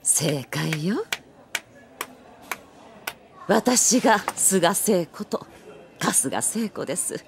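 A woman's voice: lowish voice, animated, creaky, a little hiss or hum, full character voice. An actress playing a genteel, teasing elderly woman novelist in an anime audio drama. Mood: gracious. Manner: genteel, teasing, deliberately revealing.

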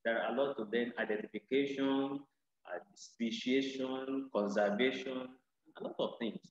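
A man's voice: medium pitch, steady tone. Man in a very echoey room. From Nigeria. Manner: halting, loud, many uhs. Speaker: panelist, research scientist. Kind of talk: panel discussion self-introduction. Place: indoor room, video call. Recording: very echoey, reverberant, muffled.

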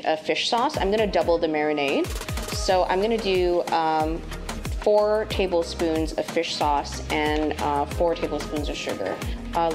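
A woman in her thirties chats through a recipe from her home kitchen, loose and relaxed, dropping fillers as she goes. Her voice sits on the lower side, and clear music plays under it. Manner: chatty, relaxed, frequent fillers. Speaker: host and tech sales lead. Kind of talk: casual cooking vlog and pitch.

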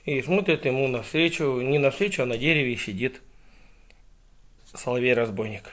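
A man's voice: medium pitch, normal speed, fairly bright tone. A man casually telling a fairy tale to his pets, speaking near a phone in a room at home. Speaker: pet owner telling a story. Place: small bedroom indoors. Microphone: handheld phone at close range.

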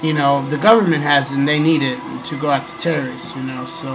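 A young man, hoarse from a cold, hosting a casual webcam vlog from a small bedroom into a desk microphone, pausing often with ums and clearing his throat. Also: medium pitch, steady tone, loud music behind the voice. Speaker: host. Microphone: desk microphone on a stand. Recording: noisy home recording.

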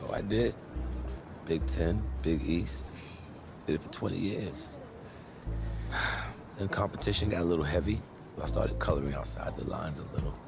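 Casting: weathered middle-aged American hoops agent, low voice, measured and streetwise, earnest game-cutscene persuader, music behind. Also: male voice, vocal fry. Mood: matter-of-fact. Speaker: basketball agent and former college recruiter. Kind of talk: video game cutscene acting.

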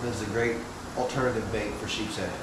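A man's voice: fairly low pitch, fairly even intonation, quick pace. A man in his thirties, a fishing channel host, giving a casual step-by-step demonstration with lots of "uh" fillers, recorded by a camera several feet away in a small bait room. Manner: easygoing, many "uh" fillers, step-by-step. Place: small indoor bait room, hard walls. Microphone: wide camera several feet away. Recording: roomy echo, clear background hum.

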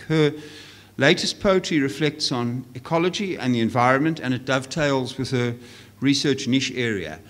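An older man at a podium giving a formal introduction, reading out a biography with small asides. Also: medium pitch. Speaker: academic introducing the speaker. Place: large hall, at a podium. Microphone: podium microphone.

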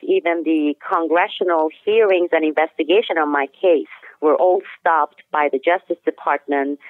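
A woman whose first language is Turkish speaks over a thin, phone-like line with no room sound at all. Her voice sits slightly low, and she works through a long account carefully, restarting a phrase now and then. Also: dry recording. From Turkey. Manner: steady, detailed explanation with occasional restarts. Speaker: guest, author. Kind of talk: interview answers.

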